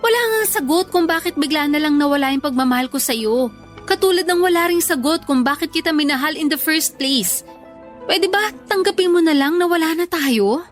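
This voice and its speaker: Fed-up ex-girlfriend, high female voice, blunt, weary, firm, radio drama, soft music behind. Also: lively intonation, normal speed. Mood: exasperated. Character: weary, blunt ex-girlfriend firmly ending a long relationship. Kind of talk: emotional radio drama dialogue.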